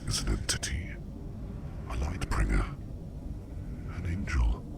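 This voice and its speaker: A man voicing a curious, courteous sleep demon in an ASMR roleplay, speaking in a breathy, gravelly whisper with formal, measured, reassuring phrasing. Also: extremely low pitch, heavily acted. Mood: calm, reassuring.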